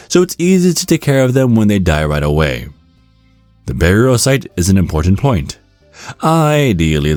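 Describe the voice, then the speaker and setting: A man giving a scripted ASMR narration in a measured, instructional storytelling manner. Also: low voice, darkish tone, no echo. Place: close, dry recording with music behind.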